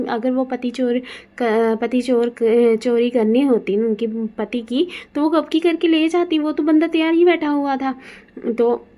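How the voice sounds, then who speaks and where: A woman's voice: a little high, warm tone. A woman giving casual, opinionated commentary in a conversational way. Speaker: video commentator.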